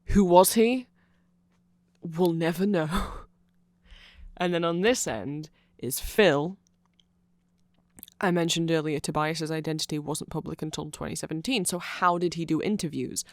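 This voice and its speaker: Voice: medium pitch, unhurried, long pauses, no room sound. A speaker giving an off-the-cuff, nerdy ASMR explanation, rambling along with asides and self-corrections, close to the microphone. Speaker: ASMR audio creator. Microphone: close microphone in a dry space.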